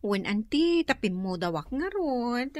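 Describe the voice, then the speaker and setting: A woman's voice: fairly high pitch, big pitch swings, unhurried, no echo, clean studio sound. A woman playing a chatty wife coming home from abroad in a radio drama, with an Ilocano accent. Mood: uneasy. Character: chatty married woman returning from work abroad. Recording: loud music under the dialogue. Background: loud music.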